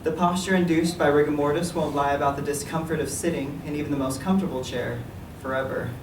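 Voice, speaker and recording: A man reading his own writing aloud at a library event, in a measured, even delivery. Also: a little high. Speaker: writer reading his work. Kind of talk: literary reading. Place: library meeting room. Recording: echoey room, distant mic, some noise.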